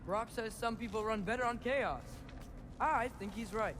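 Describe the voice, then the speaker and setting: High-pitched earnest teenage boy, acted by a man. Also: normal speed, background noise, close to an everyday voice. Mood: earnest, stubborn. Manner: earnest, stubborn, self-justifying. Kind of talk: video game voice acting. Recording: music behind the voice.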